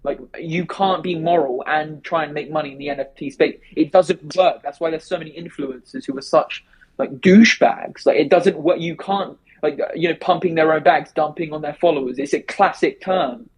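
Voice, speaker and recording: A man talking fast and rambling in a casual remote interview, with many restarts. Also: on the high side, husky, rough recording. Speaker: interview guest.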